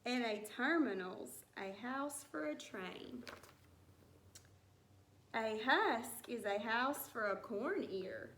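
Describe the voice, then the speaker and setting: A woman teacher reading a rhyming picture book aloud to young children, with deliberate, singsong storybook phrasing. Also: a little high, animated, fairly bright tone. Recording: roomy echo with some background noise.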